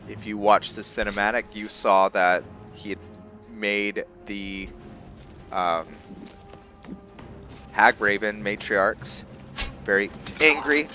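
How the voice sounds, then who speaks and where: A man's voice: lowish voice, fairly bright tone. A man giving casual gameplay commentary as a let's player, thinking aloud in short bursts.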